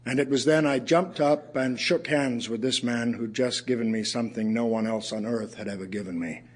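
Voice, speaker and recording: An actor playing a weary, wry male writer, reciting poems and prose as a measured, literary storyteller in an audio play. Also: medium pitch, steady tone, natural voice. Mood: wry, subdued.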